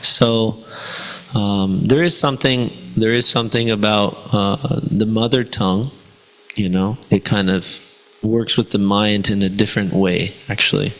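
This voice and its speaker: Male teacher, Spanish first language, gravelly voice, casual class talk. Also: lowish voice, steady tone, a little slow, fairly bright tone, slight background noise, poor audio. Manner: conversational, many fillers and rhetorical "right?". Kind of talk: informal devotional class talk.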